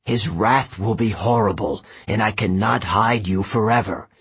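A man voicing an anxious, brooding god of the dead, deep, husky and pleading. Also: a bit bright, exaggerated voice. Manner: pleading, grave, slow.

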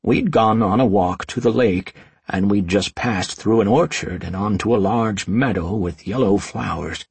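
An old wizard from a storybook, acted out by a man, frail yet commanding. His voice is very husky and he declaims in a measured, emphatic way with a wistful streak. The sound is close and dry. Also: fairly low pitch, dry recording, exaggerated voice. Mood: reminiscing. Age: older. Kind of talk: storybook character dialogue.

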